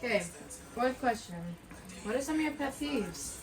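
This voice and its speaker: Woman reading quiz questions, fairly high voice, plain and short, off-mic. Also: expressive, normal speed. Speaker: question reader. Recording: distant voice with music.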